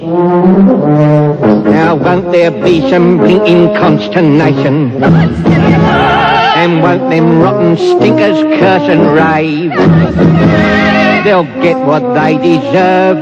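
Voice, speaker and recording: A man's voice: on the high side, slow pace. A man singing a comic music-hall style novelty song. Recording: lo-fi old record, loud band music.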